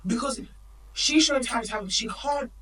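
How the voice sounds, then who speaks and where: A woman's voice: fairly high pitch, pushed and bright, far from the mic, rough recording. A woman of Nigerian heritage, co-hosting a podcast in emphatic, opinionated casual chat. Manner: opinionated, emphatic, quick asides. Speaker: podcast co-host.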